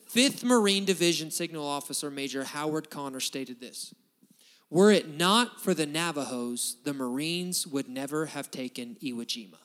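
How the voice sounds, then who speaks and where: A man's voice: on the high side, a bit bright. A man preaching a sermon in an emphatic, building manner, often restarting his phrases. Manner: emphatic, repetitive restarts, rising bursts of intensity. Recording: long hall reverb.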